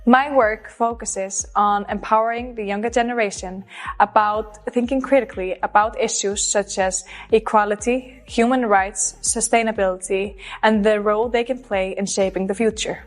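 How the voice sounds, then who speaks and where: A woman reads a prepared self-introduction as a pageant contestant. Her voice sits at an ordinary middle pitch, and her delivery is earnest and measured, with a steady recited rhythm. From Iceland. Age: 20s.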